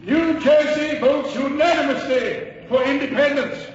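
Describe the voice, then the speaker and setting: A man's voice: very high-pitched, unhurried. An actor playing a fiery clergyman delegate, declaiming emphatically in 1940s radio drama style. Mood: fervent. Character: fiery reverend delegate backing independence. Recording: distant, muffled, echoing old radio hall.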